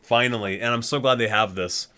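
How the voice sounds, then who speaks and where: A man's voice: medium pitch, a little fast, bright, forceful tone. A man reading aloud dramatically as a video creator, drawing out titles and names and dropping in casual asides.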